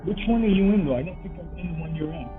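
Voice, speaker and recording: A man's voice: a little high, expressive, racing, music in the background. An older man from Canada chatting casually on voice chat while gaming, rambling and hesitant, trailing off mid-thought. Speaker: fellow player on voice chat. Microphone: voice-chat mic. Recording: muffled tinny voice-chat mic.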